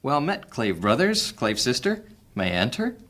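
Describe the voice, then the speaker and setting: An actor playing a cunning, guarded male master thief in an audio drama, speaking in a measured, sly way. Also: medium pitch, huge pitch swings, fairly bright tone.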